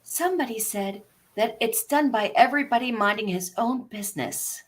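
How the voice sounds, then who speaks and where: A woman's voice: fairly high pitch, moderate pace, natural voice. A woman playing a polite, curious and forthright young girl in a storybook read, speaking earnestly.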